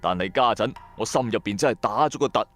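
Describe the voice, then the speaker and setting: A man's voice: on the low side, fast talker, a bit bright, close to an everyday voice. A man playing a bankrupt, hot-tempered trader who narrates his own story in colloquial, emphatic style for a radio drama. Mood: uneasy. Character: bankrupt male electronics trader, hard-pressed, hot-tempered, streetwise. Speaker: first-person narrator and lead. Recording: background music under voice. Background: music.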